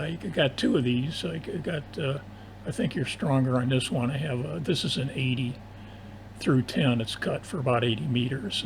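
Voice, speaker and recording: An American man in a casual, technical ham radio conversation, explaining in a halting, rambling way over an AM radio transmission. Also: medium pitch, creaky. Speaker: amateur radio operator. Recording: noisy AM shortwave radio transmission.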